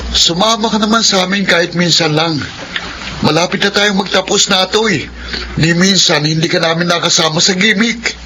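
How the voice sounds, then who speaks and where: An actor playing a coaxing young Filipino college classmate in a radio drama. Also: male voice, sits high, normal speed, lightly acted, poor audio.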